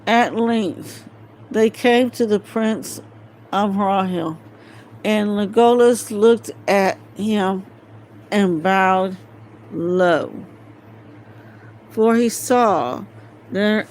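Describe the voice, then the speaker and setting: An amateur woman reader works through a fantasy novel at a slow pace. She is hesitant, breaking phrases apart and stumbling over words. It is a home recording with faint noise behind her voice. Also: mid-range voice, a little mellow, poor audio. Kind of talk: halting read-aloud of a fantasy novel.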